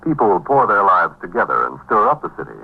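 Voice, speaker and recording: Grave old-time radio narrator, gravelly and husky, measured dramatic delivery for a cop show. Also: male voice, low-pitched, big pitch swings, exaggerated voice. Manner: solemn, measured, portentous. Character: grave, brooding male narrator of city crime drama. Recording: dull 1950s radio recording.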